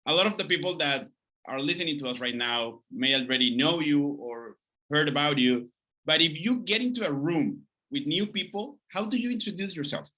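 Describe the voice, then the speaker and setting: A man's voice: a little high, normal speed, pushed and bright, low-quality recording. A man hosting an interview series who asks long, winding questions with enthusiasm. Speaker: interview host.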